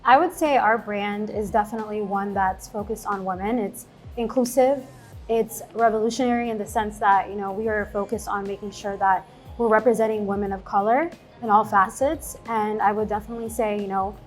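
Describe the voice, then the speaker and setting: A woman's voice: mid-range voice, steady tone, fairly quick, soft background music. Hesitant young woman from Ethiopia. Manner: hesitant, many "you know" fillers. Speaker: shoe brand co-founder. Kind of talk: interview answers.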